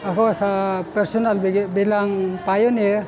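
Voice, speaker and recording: An older man, a founding church member, answering an interview haltingly with many pauses and fillers. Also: high-pitched, flat delivery. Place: church hall, indoors. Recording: dull, noisy room audio.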